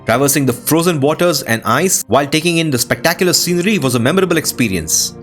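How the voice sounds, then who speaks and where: A man hosting a game playthrough, giving casual, expressive commentary with a sense of wonder. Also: a little high, quick pace. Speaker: host of a game playthrough channel. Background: new-age music behind the voice.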